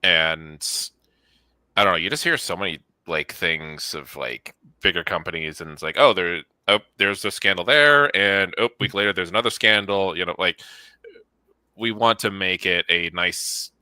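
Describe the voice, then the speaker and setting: A man's voice: sits low, normal speed, sharp tone, clear, resonant tone, no echo. A man in his thirties chatting casually in an interview as a studio co-founder, with an informal, rambling, self-effacing way of talking. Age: 30s.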